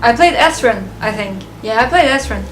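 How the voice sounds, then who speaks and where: A woman giving a casual, unscripted fan review of a ranked list, halting and thinking aloud, often chuckling. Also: a little high, lively intonation, soft background music, low-quality recording.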